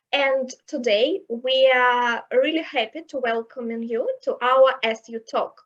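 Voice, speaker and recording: A woman with a high voice hosts an informal online chat, welcoming and upbeat. She speaks slowly and stops now and then to hesitate. The audio is dull and lo-fi, like a video call. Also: a bit bright. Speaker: students' union coordinator, host. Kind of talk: hosting an online talk.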